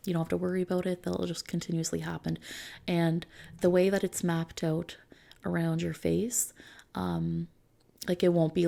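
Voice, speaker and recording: A soft-spoken woman in an ASMR roleplay, gently and reassuringly explaining things like a service consultant, with breathy edges that drift toward a whisper. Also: sits low. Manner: gentle, reassuring, checking in with the listener.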